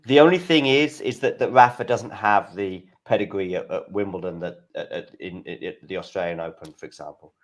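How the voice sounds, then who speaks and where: Low-voiced man hosting a watchalong. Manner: halting, restarts, reads out scores. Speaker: host. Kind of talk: casual watchalong chat.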